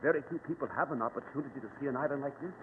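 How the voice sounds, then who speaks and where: An actor playing a young, adventurous husband in an old-time radio drama, with a dramatic, stammering delivery. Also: male voice, medium pitch, racing, natural voice. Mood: reassuring, coaxing. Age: young adult. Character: young adventurous bridegroom, breezy, coaxing, protective. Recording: thin lo-fi old radio recording, hiss.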